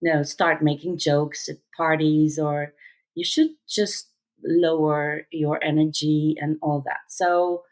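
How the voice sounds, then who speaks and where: Low-voiced Dutch-speaking woman in her fifties. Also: steady tone, a little mellow. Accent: mixed English and American, non-native. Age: 50s. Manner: reflective, halting with fillers and restarts. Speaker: interview guest, musical theater actor. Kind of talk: casual conversational interview.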